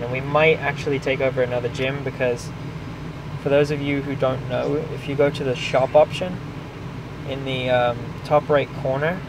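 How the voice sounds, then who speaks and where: A young man chats casually about the game he is playing, pausing often with ums and restarting his sentences. His voice sits in a flat, middle register, and steady traffic noise hums behind him outdoors. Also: mid-range voice. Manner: relaxed, many ums and restarts. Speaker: gaming vlogger. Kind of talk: casual gameplay narration. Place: outdoors near a restaurant and park.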